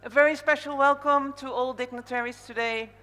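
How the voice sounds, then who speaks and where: A woman's voice: sits high, steady tone, fairly quick, bright, forceful tone. A woman from the Netherlands with a Dutch accent, speaking informally as master of ceremonies in a live conference opening address. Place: large indoor conference hall, on stage. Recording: echoey large hall reverb over PA.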